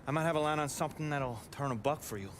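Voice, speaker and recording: A man's voice: a little high, fast, a little hiss or hum, natural voice. An actor playing a grateful male mechanic who talks earnestly.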